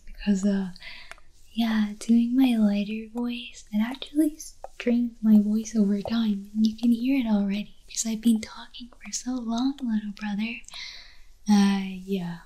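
A woman speaks in a relaxed, mellow tone, slipping in and out of a whisper as she rambles through a late-night ASMR talk in her apartment. Her delivery is slow and even, with little rise and fall. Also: mid-range voice, slight background noise, low-quality recording. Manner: rambling, drifts between soft voice and whisper. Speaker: ASMR creator. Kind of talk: soft-spoken ASMR chat.

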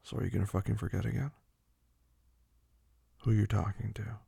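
A man playing a dominant, teasing lover in an intimate audio roleplay, murmuring in a breathy, husky voice that often drops to a whisper. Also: on the low side, fairly even intonation, dry recording, full character voice. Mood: firm, controlled. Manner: slow, murmured, commanding, with soft hums.

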